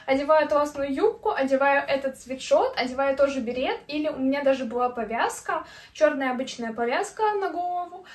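Young woman, high voice, chatty upbeat vlogger, echoey room, faint music behind. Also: expressive, a little fast, few pauses. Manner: chatty, upbeat, frequent fillers. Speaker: fashion vlogger. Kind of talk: casual outfit try-on chat. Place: indoor room with clothing racks. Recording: roomy echo with faint music.

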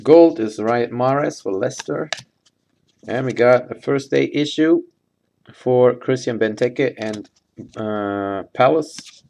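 A man giving casual, halting live commentary as he hosts a sports card box break, matter-of-fact in manner. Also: medium pitch, vocal fry, a little mellow. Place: indoors at a tabletop.

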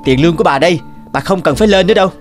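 A man's voice: high voice, very fast, over music, natural voice. A man voicing an arrogant, boastful rich young man in a cartoon, with a swaggering manner. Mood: scornful. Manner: breezy, swaggering, scornful. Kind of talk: animated moral-tale dubbing.

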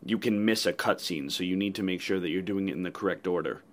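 A man's voice: low-pitched, fast, soft background music. A man hosting a casual video game playthrough commentary. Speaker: gaming channel host.

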